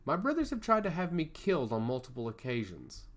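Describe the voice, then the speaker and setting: A man voicing a charming god of chaos in a fantasy story, speaking with calm, theatrical confidence. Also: a little high, a little fast, pitched above the performer's own voice. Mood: guarded, candid.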